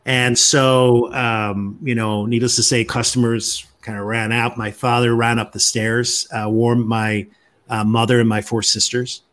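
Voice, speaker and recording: Man, fifties, podcast guest; measured storyteller, mid-pitched, pauses often. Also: little pitch movement, fairly bright tone. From Northern Ireland. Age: 50s. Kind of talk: storytelling interview.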